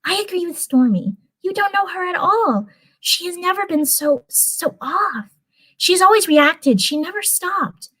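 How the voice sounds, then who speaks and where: Earnest young fairy girl, acted by a woman, cartoon fan-story style, pitched high, pleading and emphatic. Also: animated, unhurried, bright, forceful tone, exaggerated voice, rough recording. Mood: concerned, insistent.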